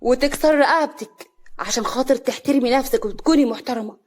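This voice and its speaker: A woman's voice: high-pitched, quick pace, a little mellow. A woman voicing an angry, bullying young husband in a story, cruel and threatening, with an Upper Egyptian accent.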